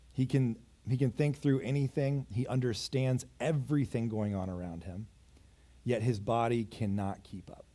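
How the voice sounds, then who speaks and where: A man in his thirties preaching a sermon, moving between raised urgent passages and quiet, intimate ones, with self-deprecating asides. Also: mid-range voice. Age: 30s. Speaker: pastor. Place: church.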